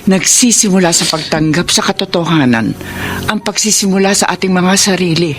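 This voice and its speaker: An actress playing a caring, motherly friend who gives gentle advice in a Filipino radio drama. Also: fairly low pitch, normal speed, music in the background, close to an everyday voice. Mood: gently encouraging.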